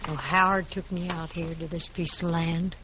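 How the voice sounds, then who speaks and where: A woman's voice: on the low side, little pitch movement, normal speed, close to an everyday voice. An actress in a 1950s radio western playing a stubborn widowed frontier woman defending her homestead, with a folksy drawl. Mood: wistful. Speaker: actor in radio drama. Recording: muffled old radio recording, faint hiss.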